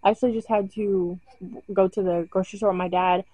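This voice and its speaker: A woman's voice: mid-range voice, steady tone, brisk, mellow, rough recording. Chatty young woman vlogging at home. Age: young adult. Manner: chatty, rambling, many fillers. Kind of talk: casual vlog talk to camera. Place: bedroom at home. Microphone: webcam or phone camera close up.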